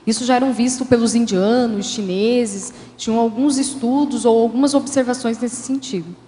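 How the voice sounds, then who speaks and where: A woman's voice: on the high side, steady tone, moderate pace, mellow. A woman, a guest author, explaining her answers in an interview, hesitant and with many fillers. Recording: hall reverb.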